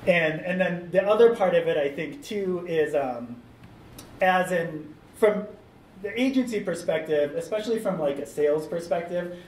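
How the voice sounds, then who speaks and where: A man's voice: a little high, a little mellow. A man on a discussion panel giving a thoughtful answer with frequent ums, recorded in a meeting room. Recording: roomy, distant mic, faint noise.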